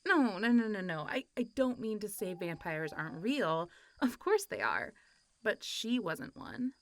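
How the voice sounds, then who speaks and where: A woman acts out a friendly zombie monstergirl, centuries old yet girlishly playful. Her voice sits at a medium pitch and stays conversational. She teases and rambles lightly, as in a roleplay audio made for a single listener. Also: very animated, a little slow. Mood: chatty, amused. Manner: chatty, teasing, frequent giggles and sighs, hesitant asides. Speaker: voice actor.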